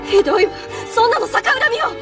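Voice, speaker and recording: A woman's voice: extremely high pitch, lively intonation, fairly quick, recorded from a distance. A woman voicing a distressed, indignant young woman in an anime, emotional and reproachful, with music behind. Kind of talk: anime dramatic acting.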